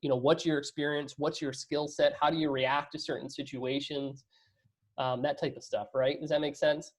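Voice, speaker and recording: A man's voice: on the high side, flat delivery, normal speed. A man answering as a guest investor in a conversational business interview, talking emphatically and often checking in with rhetorical questions.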